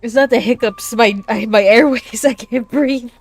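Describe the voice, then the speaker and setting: A woman's voice: on the high side, moderate pace. A woman streaming a visual novel with a stuffy, blocked nose, chatting casually and reading game lines aloud into a desk mic. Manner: chatty, self-deprecating, trails off mid-sentence. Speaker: VTuber streamer.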